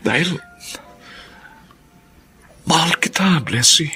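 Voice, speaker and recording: A man playing an earnest, patient, devoted young suitor in a Filipino radio drama, speaking gently and reassuringly. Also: on the high side, lively intonation, slow pace, soft background music, heavily acted. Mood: tender confession.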